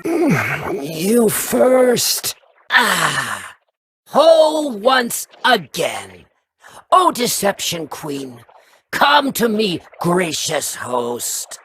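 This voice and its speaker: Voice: medium pitch, huge pitch swings, very slow, fairly bright tone, slightly rough, exaggerated voice. An actor voicing a sly, needy worm parasite in a video game, theatrical and grovelling, speaking in clipped broken sentences. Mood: coaxing.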